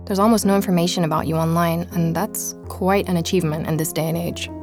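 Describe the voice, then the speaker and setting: Casting: actress for intent female journalist-narrator, slightly low register, reflective and confiding, audio drama with music bed. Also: fairly even intonation, fast talker, lightly acted. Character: woman journalist narrating her investigation, curious and intent. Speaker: podcast host and narrator.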